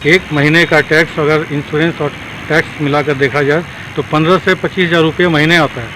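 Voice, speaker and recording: A man's voice: fairly high pitch, fairly even intonation, fairly quick, over music. A man explaining his situation in a news interview, recorded on a noisy street with vehicles. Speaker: bus operator being interviewed.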